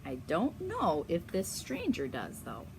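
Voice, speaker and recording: A woman reading a picture book aloud to children in a teacherly way, pausing to explain and ask them questions. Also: lowish voice, wildly swooping pitch, background noise. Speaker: story reader for children.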